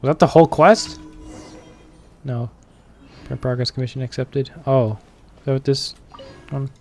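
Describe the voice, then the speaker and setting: A man's voice: medium pitch, steady tone, unhurried, a little mellow, soft background music. A young man giving casual, joking, slangy commentary as he streams a video game.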